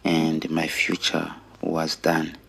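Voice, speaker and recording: Deep-voiced, slow-speaking Rwandan man. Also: completely flat delivery, gravelly, fairly bright tone, breathy edge. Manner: earnest, deliberate, with pauses between short phrases. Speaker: storyteller sharing own life story. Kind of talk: personal testimony.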